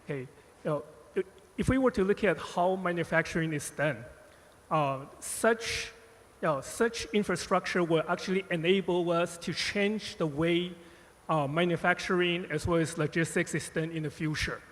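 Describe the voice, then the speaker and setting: A man's voice: fairly high pitch, normal speed. A man speaking as a panelist, stumbling through answers with many filler phrases. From born Taiwan, raised United States. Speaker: panelist, company strategist. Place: conference hall stage. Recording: conference hall reverb.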